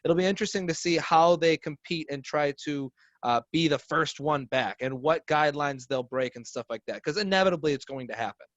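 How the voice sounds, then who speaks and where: A man's voice: a little high, brisk, no room sound, highs cut off. A man in his twenties, a podcast guest, talking earnestly in a rambling, casual way with lots of fillers. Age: 20s. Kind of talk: casual chat.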